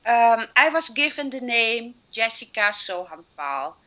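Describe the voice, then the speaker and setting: Bright-voiced Dutch-speaking woman from Suriname. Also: fairly high pitch, big pitch swings, unhurried. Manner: earnest, with frequent fillers and restarts. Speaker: guest, personal development coach. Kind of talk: interview answers.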